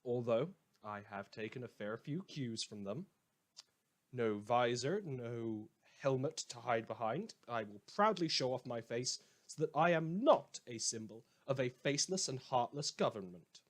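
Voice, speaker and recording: A man's voice: medium pitch, expressive, unhurried, close to an everyday voice. A man playing a sardonic, theatrical radio host. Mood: wry, smug. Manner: sarcastic, rambling, mock-grandiose, hesitant repetitions. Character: sardonic, theatrical male radio host turned self-appointed vigilante lawman. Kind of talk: scripted fictional radio monologue.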